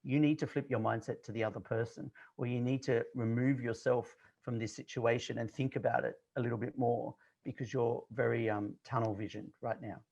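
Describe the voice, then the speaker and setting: A man's voice: medium pitch, steady tone, a little fast, vocal fry, hardly any pauses, a little mellow, lo-fi sound. An Australian man in his forties, a confidence coach who talks bluntly and directly in a casual interview, with frequent fillers. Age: 40s.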